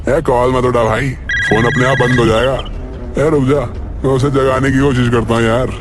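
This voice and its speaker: A man voicing an excitable, rowdy young college friend in a cartoon story. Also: medium pitch, normal speed, over loud music, voice pushed up, natural voice. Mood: urgent, flustered.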